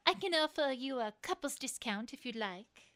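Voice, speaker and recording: A woman's voice: sits high, expressive, normal speed, pushed and bright. A woman playing a refined pony fashion designer in an audio story, poised, formal and appraising. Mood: dryly businesslike.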